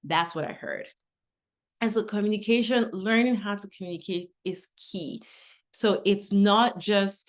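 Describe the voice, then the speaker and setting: A middle-aged woman from Nigeria presenting a webinar talk in a conversational way, with personal stories. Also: medium pitch. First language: English. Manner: conversational, uses personal anecdotes. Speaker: webinar speaker.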